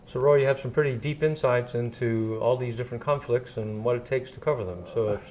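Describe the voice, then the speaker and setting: A man asks questions at a quick clip, often filling in the words his guest is searching for. His voice sits in a middle range, with a faint hiss under it. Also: medium pitch, darkish tone. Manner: brisk, prompting and filling in words. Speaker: interviewer. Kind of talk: interview questions.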